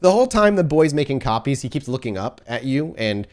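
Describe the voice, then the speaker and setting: A man working as game master, improvising descriptive narration for a tabletop game in a halting way. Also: medium pitch, very animated, a little mellow, a little hiss or hum.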